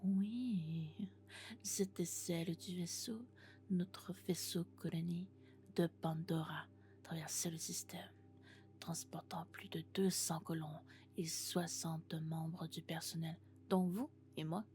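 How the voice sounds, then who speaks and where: A low, soft female robot companion, played by a woman, doting on a human. The delivery is gentle and reassuring, slipping between quiet speech and whispers in an intimate ASMR roleplay, with music underneath. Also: fairly even intonation, takes it slowly. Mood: gentle, cheerful.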